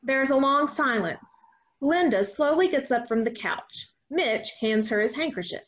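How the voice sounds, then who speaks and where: A woman's voice: high voice, expressive, measured pace. A woman reading stage directions as scripted narration for a play. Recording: dull lo-fi video call audio.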